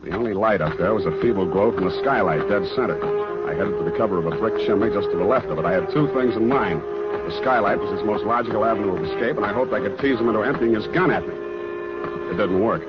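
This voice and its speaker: A wry, hard-boiled American private eye, played by a male actor in a 1940s radio drama. He is easygoing and deadpan, with an average-pitched voice. The old recording is dull and muffled, with music in it. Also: quick pace, close to an everyday voice. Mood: wry narration. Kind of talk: 1940s radio detective drama acting and narration.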